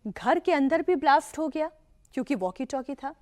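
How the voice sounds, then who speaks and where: A woman's voice: high-pitched, lively intonation, moderate pace, darkish tone. A woman news anchor narrating dramatically, with an emphatic, urgent delivery.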